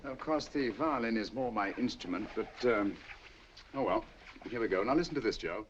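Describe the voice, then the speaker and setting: Composed detective, played by a man, crisp and ironic, lively delivery, muffled vintage sound. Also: lowish voice, noisy background, lightly acted. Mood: measured. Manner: crisp, measured, lightly ironic. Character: sharp, cool-headed English detective, middle-aged man. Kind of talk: 1940s film acting.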